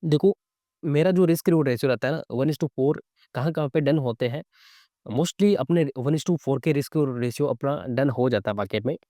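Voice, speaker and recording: A man's voice: medium pitch, moderate pace, mellow, dry recording, clean studio sound. A man with a slightly sore throat hosting a live trading lesson, explaining casually and often checking in with short tag questions. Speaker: trading instructor and live-trade host. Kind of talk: casual live commentary teaching chart reading.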